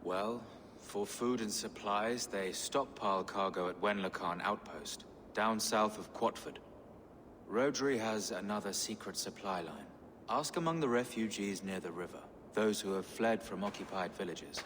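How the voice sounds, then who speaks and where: A man's voice: lowish voice, measured pace, fairly bright tone. A man playing a pious, pleading, anxious bishop in a video game, earnest and formal. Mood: weary, grave. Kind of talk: dramatic video game acting. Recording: reverberant hall, faint noise.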